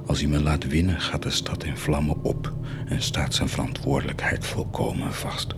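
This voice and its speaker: An actor in a radio drama playing a defiant warlord who gambles with God, declaiming between murmur and bark. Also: male voice, a bass voice, little pitch movement, normal speed, loud music behind the voice. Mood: taunting, gambling. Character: defiant, theatrical warlord gambling with God, self-confessed villain.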